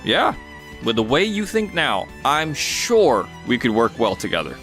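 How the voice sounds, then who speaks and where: A man's voice: mid-range voice, very animated, a little slow, a bit bright, soft background music. A man playing a kindly, upbeat young entrepreneur in a manga dub, friendly and encouraging. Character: upbeat, kindly young male entrepreneur telling his story. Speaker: narrator and lead character in dub.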